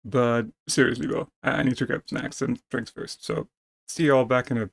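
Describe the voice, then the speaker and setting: A man's voice: mid-range voice, steady tone, moderate pace, gravelly, husky, no echo. A man chatting casually as a game streamer, talking to his viewers. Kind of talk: casual live stream chat.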